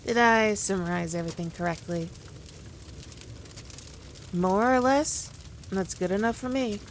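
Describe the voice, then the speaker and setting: A woman playing a powerful demon queen in an ASMR roleplay, condescending, teasing and theatrical, with deliberate, drawn-out phrasing. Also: mid-range voice, slight background noise, close to an everyday voice. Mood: sardonic, haughty. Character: powerful demon queen, haughty, teasing, dominant, toying with her foe. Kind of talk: scripted ASMR roleplay addressed to the listener.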